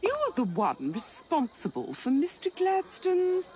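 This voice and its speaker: Regal victorian lady, snooty, slow talker but very swoopy intonation, game cutscene. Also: sits high, wildly swooping pitch, warm tone, exaggerated voice. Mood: regal, cool. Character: haughty Victorian woman of high rank. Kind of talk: video game cutscene acting.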